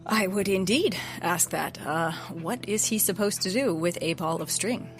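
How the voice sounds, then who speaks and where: A woman's voice: on the low side, moderate pace, faint music behind, lightly acted. A woman in an audio drama playing a skeptical, wry listener with a casual, questioning way of talking. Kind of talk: naturalistic audio drama dialogue.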